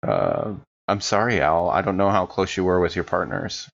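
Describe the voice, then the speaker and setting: A man playing a shaken, apologetic survivor in an improvised horror audio drama, speaking haltingly. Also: deep voice, very animated, creaky, lo-fi sound, exaggerated voice. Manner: halting, hesitant.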